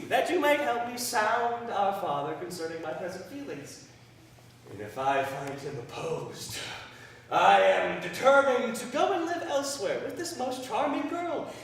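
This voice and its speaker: Man playing a high-voiced lovesick young son, echoing. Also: fairly bright tone, heavily acted. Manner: florid, declamatory, dramatic. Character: earnest lovesick young son, indignant at his father. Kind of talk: classical stage comedy acting. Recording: very echoey hall, distant mic, hiss.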